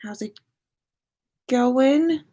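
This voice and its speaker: A woman's voice: high-pitched, extremely slow, warm tone. An American woman hosting a casual livestream from her room, rambling informally and playfully, sounding tired. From United States. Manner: informal, rambling, playful with sing-song asides. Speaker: podcast host livestreaming. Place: own room at home.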